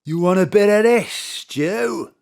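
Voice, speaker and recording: A man's voice: high voice, slow pace, darkish tone, smooth. A man playing a leering, drunken lecher in an audio drama, with a boisterous, lewd crooning drawl.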